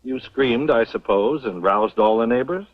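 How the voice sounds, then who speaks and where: An actor playing a shrewd, skeptical professor in an old western radio drama, measured and inquisitive. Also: male voice, medium pitch, no echo, natural voice. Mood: dry, knowing. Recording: muffled old radio recording.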